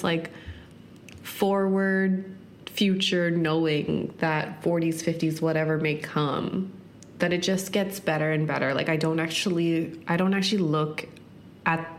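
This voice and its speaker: A woman's voice: deep voice, a little hiss or hum. A woman in her thirties hosting a solo podcast, talking earnestly and reflectively with many "like" fillers. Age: 30s. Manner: earnest, frequent "like" fillers, speeds up and slows for emphasis. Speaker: podcast host.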